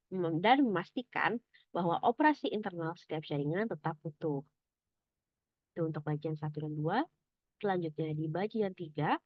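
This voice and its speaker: A young adult woman presents academic material like a student reading from notes. Her delivery is measured and explanatory, with some halting. The sound is dry and slightly dull, with a lo-fi finish. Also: on the low side, dry recording.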